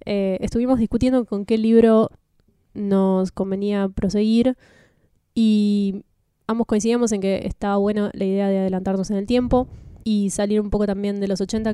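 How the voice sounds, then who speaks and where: An Argentine woman co-hosting a podcast, talking in a relaxed, explanatory way. Her voice is mellow and smooth. The recording is dry and close. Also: medium pitch, no room sound. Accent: Rioplatense Spanish. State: slight cough. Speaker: podcast co-host. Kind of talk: casual podcast chat.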